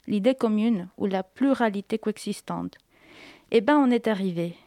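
A young woman, a doctoral student, reading her own prepared literary text on air in a radio studio, in a measured, deliberate way. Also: mid-range voice, darkish tone, no room sound. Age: young adult. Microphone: studio microphone.

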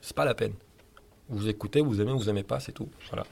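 A man's voice: lowish voice, fast, vocal fry, darkish tone. Man of Turkish mother tongue, thoughtful rocker. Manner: thoughtful, opinionated, frequent hesitations. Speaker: rock band singer and guitarist being interviewed. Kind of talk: casual interview answers.

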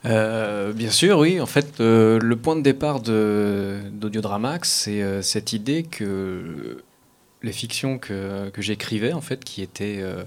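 A man speaking as a guest at a round table, explaining in halting phrases with repeated small words. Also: fairly low pitch, animated. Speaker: guest, audio fiction writer and collective founder.

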